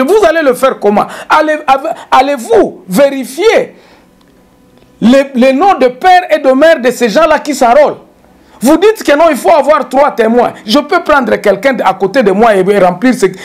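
A middle-aged man, hosting a solo political commentary to camera in a small studio, talking emphatically and repeating words as he searches for them. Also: very high voice. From DR Congo. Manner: emphatic, gesturing, stammering repetitions. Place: small studio with dark backdrop.

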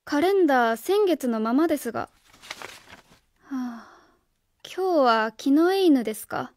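Woman acting a high-voiced, earnest schoolteacher. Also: lively intonation, a little slow, darkish tone, a little hiss or hum. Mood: polite, suspicious. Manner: polite, precise, interrogating. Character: earnest, suspicious female schoolteacher on a home visit. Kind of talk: Japanese radio drama acting.

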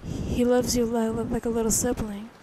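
A woman playing a gentle, protective big sister comforting a child to sleep in a soothing, hushed ASMR roleplay, close to the microphone. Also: fairly high pitch, little pitch movement, moderate pace, a little hiss or hum, natural voice, rough recording. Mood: reassuring, protective.

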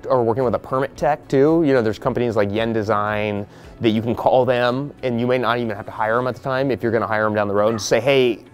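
A man, walking a group through a house he is flipping outdoors on a porch, talking bluntly and practically. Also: mid-range voice. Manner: blunt, practical, quoting others in quick asides. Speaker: real estate investor leading a property walkthrough. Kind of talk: casual explaining to a group on site.

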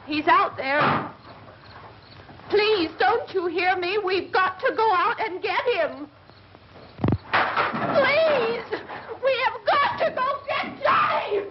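An actress playing a terrified, hysterical young woman in shock, halting and pleading, in an old horror film. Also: extremely high pitch, expressive, shouting, heavily acted. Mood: desperate pleading. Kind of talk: 1960s horror film acting. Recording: noisy, muffled old film soundtrack.

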